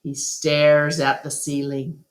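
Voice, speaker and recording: Female storyteller for a children's read-aloud; low register, unhurried pace, deliberate and expressive delivery. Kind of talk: scripted storybook narration.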